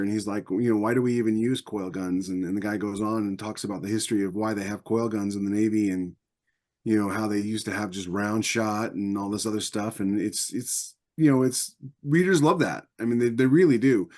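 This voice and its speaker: A man with a fairly low voice talks casually on a podcast panel. He is conversational, often repeating a phrase to make his point. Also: a little mellow. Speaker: podcast panelist and science fiction author.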